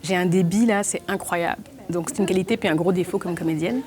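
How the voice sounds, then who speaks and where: A young adult woman from Belgium, talking fast with many restarts in a documentary interview. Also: on the low side, a little mellow. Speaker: actress and playwright.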